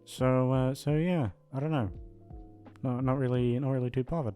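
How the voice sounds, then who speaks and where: A man's voice: medium pitch, steady tone, moderate pace, warm tone, faint music behind. A man chatting casually to his listeners in a hesitant, self-deprecating, aimless ramble. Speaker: voice actor signing off to listeners. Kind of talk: casual rambling outro chat.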